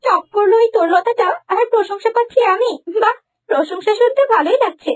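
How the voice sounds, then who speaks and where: Shrill, haughty young schemer. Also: female voice, fairly quick. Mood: gloating. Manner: shrill, imperious. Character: haughty, scheming young woman posing as a heroine. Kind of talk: animated fairy-tale voice acting.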